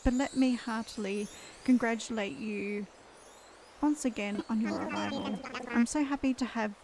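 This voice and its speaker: A woman's voice: fairly high pitch, moderate pace, a crowd in the background, natural voice. A woman reading aloud the lines of a boastful male raccoon shopkeeper from a video game. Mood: upbeat salesy.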